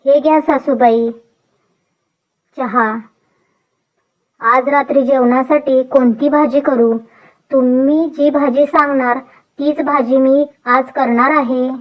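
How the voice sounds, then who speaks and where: A woman's voice: high voice, fairly even intonation, slow, long pauses, dull audio. A woman playing an earnest, polite young daughter-in-law in a dubbed moral story. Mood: agreeable. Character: earnest young city-bred daughter-in-law, contrite and willing. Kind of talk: animated moral story dubbing.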